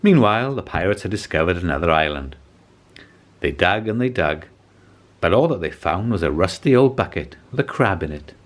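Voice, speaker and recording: A man reading a children's story aloud as an expressive, deliberate narrator. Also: low voice. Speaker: storybook reader.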